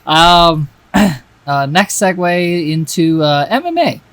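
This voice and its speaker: A man's voice: on the high side, animated, takes it slowly, fairly bright tone. A young man co-hosting a casual podcast chat, joking around, swearing freely and laughing often. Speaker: podcast co-host.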